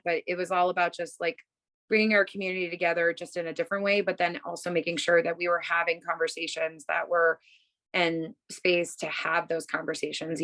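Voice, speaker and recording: A woman's voice: on the low side, a bit bright, rough recording. Chatty woman on a video call. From Massachusetts, USA. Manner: chatty, many fillers, upbeat. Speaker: bookstore owner, guest. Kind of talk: casual interview answers. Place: home room.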